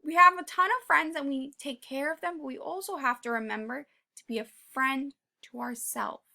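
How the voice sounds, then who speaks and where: A woman reading a children's picture book aloud, pausing to talk to young listeners in an encouraging way and sometimes dropping to a whisper. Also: high-pitched, creaky. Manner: drops to a whisper at quiet moments. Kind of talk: read-aloud with commentary for kids.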